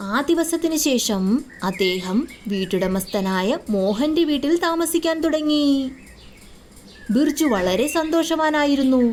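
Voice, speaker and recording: A woman's voice: high-pitched, darkish tone, a little hiss or hum. A woman giving scripted narration for a children's moral story.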